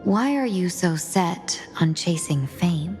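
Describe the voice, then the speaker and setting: An actress playing a composed, formal young woman in a game, speaking in measured tones. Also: lowish voice, animated, darkish tone, soft background music. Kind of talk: game voice acting.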